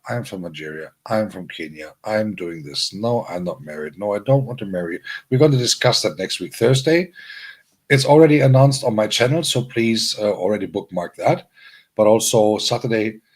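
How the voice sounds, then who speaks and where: A man with a very low, husky voice chats loosely with his stream viewers. He talks in halting, rambling bursts, full of ums and restarts, as he runs through the week's plans. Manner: friendly, announcing upcoming streams to viewers. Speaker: livestream host and DJ. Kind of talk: casual livestream chat.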